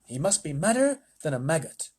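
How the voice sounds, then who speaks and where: A man's voice: fairly high pitch, sing-song, a little fast, darkish tone, husky. A man reading aloud and voicing a twitchy, fawning male chief spy in disguise. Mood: smug.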